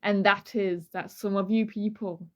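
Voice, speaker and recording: A woman's voice: mid-range voice, fairly even intonation, measured pace, darkish tone. A woman co-hosting a true crime podcast, switching between dramatic reading and casual, irreverent banter. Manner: playful, irreverent, swears casually.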